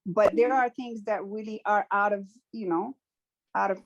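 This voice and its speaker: A woman speaks in a middle-pitched, conversational way. She is earnest and reflective and often stops to restart a thought. Her delivery is that of a guest telling a personal story in an interview. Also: fairly even intonation, moderate pace, muffled recording. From Morocco.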